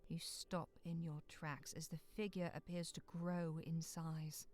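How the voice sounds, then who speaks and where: A woman narrating a bleak, frozen monster-hunting visual novel as a solemn storyteller, with phrases sinking into hush. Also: sits low, little pitch movement, a little slow. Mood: ominous, suspenseful. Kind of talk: scripted second-person dramatic narration. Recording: clear noise behind the voice.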